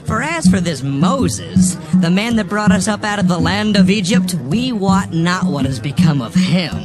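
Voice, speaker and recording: A man's voice: high voice, animated, moderate pace. A man playing a demanding, restless Israelite in dramatized scripture, with declamatory delivery. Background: music.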